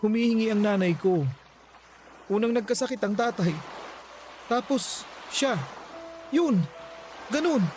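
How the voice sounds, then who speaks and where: A shifty Filipino boyfriend in radio-drama style. He speaks in a high voice, stammering and evasive, always apologetic and pleading his case. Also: a little slow, long pauses, darkish tone, soft background music. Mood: sheepish, apologetic. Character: shifty, apologetic live-in boyfriend caught stealing.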